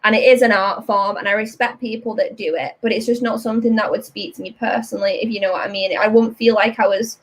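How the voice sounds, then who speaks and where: A British woman hosting a casual chat while inking comics, lively, frank and chatty. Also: mid-range voice, fast talker, hardly any pauses. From Britain. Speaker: host, comic artist.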